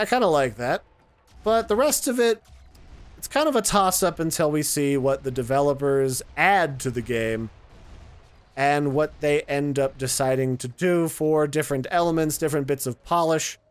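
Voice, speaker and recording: Male games YouTuber, slightly high voice, chatty and slangy, music underneath. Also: smooth. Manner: colloquial, playful slangy phrasing. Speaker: video game reviewer and YouTube host. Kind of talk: casual gameplay commentary.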